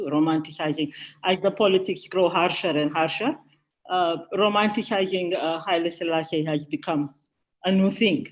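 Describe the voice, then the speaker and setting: A panel discussant adding a comment in an academic discussion, pausing often on fillers. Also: medium pitch, low-quality recording.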